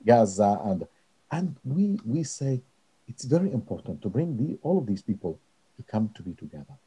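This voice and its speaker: A middle-aged or older man from Israel with a Middle Eastern accent, a non-native English speaker answering interview questions earnestly and haltingly. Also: on the low side, very animated, dark tone, slightly rough, rough recording. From Nazareth, Israel. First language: not English. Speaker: interview guest, director of a peace organization.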